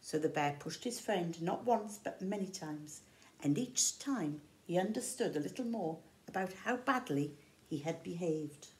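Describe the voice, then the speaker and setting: A woman's voice: fairly low pitch, expressive, measured pace. A middle-aged woman with an English accent reads a picture book aloud to young children, expressively, explaining words simply as a teacher does. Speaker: infant school teacher. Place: indoor room with large windows. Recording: roomy echo.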